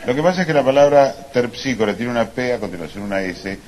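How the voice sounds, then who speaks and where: A man's voice: mid-range voice, lively intonation, fast, darkish tone, lightly acted. A man playing a fussy, mock-learned language scholar in a live stage comedy sketch. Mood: pedantic. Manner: mock-learned, overly formal. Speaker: comic stage performer. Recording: live stage with background noise.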